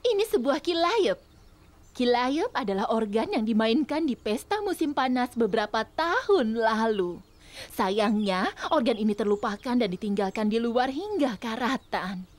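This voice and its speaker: A woman's voice: fairly high pitch, expressive, measured pace. A woman playing a refined, matter-of-fact duchess in a children's cartoon dub, politely authoritative. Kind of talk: dubbed children's cartoon acting.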